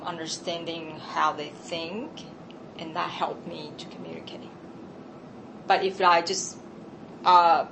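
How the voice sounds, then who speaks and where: A woman with a Taiwanese accent speaks in a somewhat low voice, answering questions in an earnest, reflective and halting way. English is not her first language. A steady noise sits clearly behind her voice. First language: Chinese. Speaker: interviewee. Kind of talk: candid conversational answers. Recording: noisy background.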